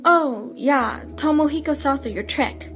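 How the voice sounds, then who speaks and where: A gloomy, guarded schoolgirl played by a woman in an audio drama. Her voice is fairly high, restrained and earnest, with soft music underneath. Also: a little slow. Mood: matter-of-fact. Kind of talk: audio drama acting with inner narration.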